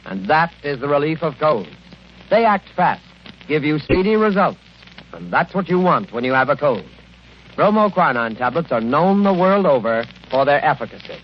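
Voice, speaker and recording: A man hosting in polished, emphatic 1940s radio announcer style, courteous and scripted. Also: fairly high pitch. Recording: muffled, hissy 1940s radio recording.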